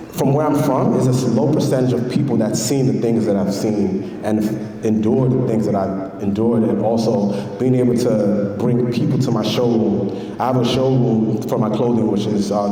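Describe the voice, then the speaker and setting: A man from Honduras, raised in the Bronx, introducing himself on a panel in a relaxed, proud way. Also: fairly low pitch. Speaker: panel guest, fashion designer. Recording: distant, roomy hall.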